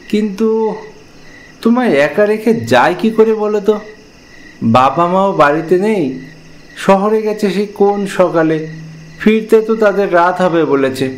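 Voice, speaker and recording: A man's voice: high voice, expressive, unhurried, pauses often, pitched above the performer's own voice, poor audio. A man voicing a worried, dutiful young village grandson in a cartoon ghost story, caring and full of anxious questions. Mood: concerned, caring. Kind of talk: dramatised animated ghost story.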